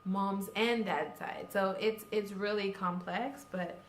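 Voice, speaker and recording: A woman's voice: medium pitch, fairly even intonation, slow pace, reverberant, slight background noise. A young woman from Cameroon, chatting casually to camera with lots of "like" and "you know". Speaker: vlogger. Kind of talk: casual vlog chat.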